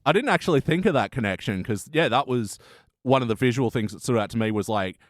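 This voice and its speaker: A man's voice: mid-range voice, animated, fast talker, dry recording, clean studio sound. A man chatting casually as a podcast host, rambling and informal in his reviewing. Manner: rambling, informal, swears freely.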